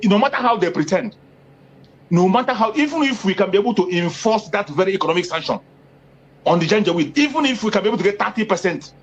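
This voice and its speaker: A man speaks in an interview with a raspy, fairly high voice. He stresses his points by repeating them, and his pitch rises as he presses harder. The audio sounds muffled and lo-fi. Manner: emphatic, repeats phrases for force, rising pitch when stressing points. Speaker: activist interviewee. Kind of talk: impassioned political interview talk. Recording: dull lo-fi audio.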